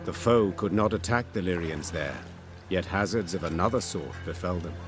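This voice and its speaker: An actor playing a solemn storyteller, narrating an epic fantasy game in a measured, old-fashioned way. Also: male voice, sits low, lightly acted. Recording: prominent music behind the voice.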